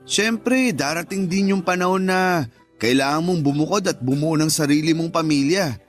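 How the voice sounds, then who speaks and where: Actor as higher-voiced, teasing male buddy. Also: moderate pace, faint music behind, close to an everyday voice. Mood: pushy, teasing. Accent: Filipino. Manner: joking, nagging, coaxing. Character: teasing, pushy married male best friend in his thirties. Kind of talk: radio drama dialogue.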